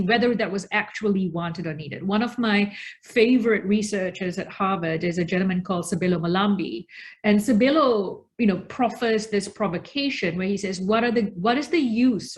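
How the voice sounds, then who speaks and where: Female researcher from Australia, forties, medium pitch, reflective and deliberate panel delivery with emphasis on key words. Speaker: researcher, invited panel speaker. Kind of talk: reflective webinar talk.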